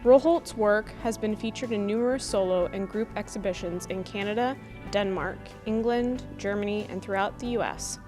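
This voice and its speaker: A woman's voice: medium pitch, moderate pace. A woman reading a scripted announcement as the announcer of a campus TV program. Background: music.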